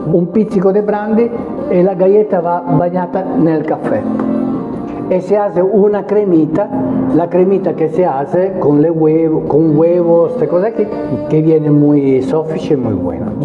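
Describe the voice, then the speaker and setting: Italian man with heavy accent, gesturing. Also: on the high side. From Italy. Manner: mixes Italian into hesitant Spanish, lively hand gestures. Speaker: chef and restaurant owner. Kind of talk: casual interview. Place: small restaurant dining room, indoors. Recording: echoey room, phone-like sound, background music.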